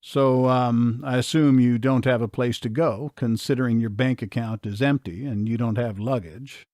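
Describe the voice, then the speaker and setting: A man's voice: mid-range voice, steady tone, normal speed, darkish tone, no room sound, clean studio sound, natural voice. A man reading as a playful small-town charmer. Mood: hesitant. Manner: teasing, easygoing.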